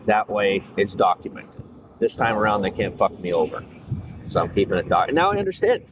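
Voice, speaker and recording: A man's voice: fairly low pitch, sing-song, fairly quick, muffled recording. A man chatting casually to a livestream audience in a rambling, filler-heavy way, recorded outdoors on a noisy street with passing traffic. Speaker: streamer.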